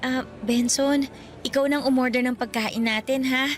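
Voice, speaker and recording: A shy, secretive young student girlfriend in a radio play, voiced by a woman with a high voice. She dodges questions and playfully scolds her boyfriend, with soft music in the background. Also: fairly even intonation, normal speed, lightly acted. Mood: flustered. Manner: flustered and evasive, with playful scolding. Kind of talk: radio drama acting.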